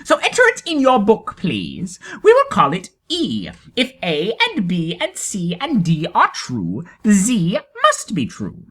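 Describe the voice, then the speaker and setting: A teasing storybook tortoise, played by a man, pitched high with big swooping intonation. It speaks precisely and politely, with a stubborn, innocent-sounding insistence. The voice is a little husky. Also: huge pitch swings, slow pace, hardly any pauses, bright, forceful tone, heavily acted. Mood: mock-innocent. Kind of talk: dramatic storybook reading.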